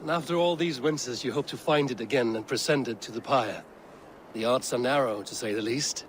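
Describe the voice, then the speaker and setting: A calm, dutiful Viking warrior, played by a man in a game cutscene. The voice sits at an ordinary male pitch and speaks with solemn purpose. Clear outdoor ambience runs behind it. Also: brisk, slight background noise, natural voice, rough recording. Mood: measured, curious. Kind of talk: video game cutscene acting.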